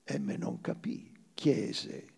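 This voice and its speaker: A man giving a theatrical reading of literary fiction, with measured, deliberate phrasing and dramatic pauses. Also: mellow. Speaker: actor reading a short story.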